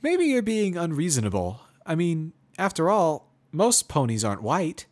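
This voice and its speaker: A man's voice: fairly high pitch, huge pitch swings, normal speed, darkish tone, voice pushed up. A man voicing a polite, inquisitive female pony in a storybook reading, with a lilting, emphatic manner.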